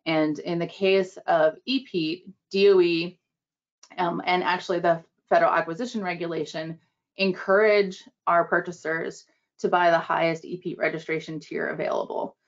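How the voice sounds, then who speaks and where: A woman giving a measured webinar presentation with a few restarts, heard over a video call. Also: lowish voice. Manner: measured, steady, some restarts. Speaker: government program manager presenting. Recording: dull lo-fi call audio.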